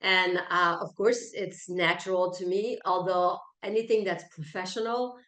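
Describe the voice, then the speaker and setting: A woman's voice: on the low side, fairly even intonation, measured pace, a bit bright. Self-assured Frenchwoman in an echoey room. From France. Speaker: interview guest, keynote speaker, coach and author. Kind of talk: conversational interview answers. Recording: roomy, echoey sound.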